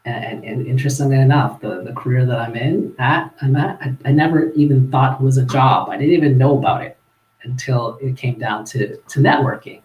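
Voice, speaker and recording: A man's voice: medium pitch, a little fast, highs cut off. Canadian man in an echoey room. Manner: relaxed, chuckling, with frequent fillers and restarts. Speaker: guest. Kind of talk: casual storytelling in a live-streamed interview.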